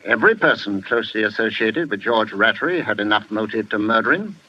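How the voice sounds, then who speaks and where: An actor playing a shrewd, methodical police inspector, measured and formal, in a 1940s radio mystery. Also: male voice, lowish voice, animated, breathy edge. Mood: accusing. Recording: muffled vintage radio recording.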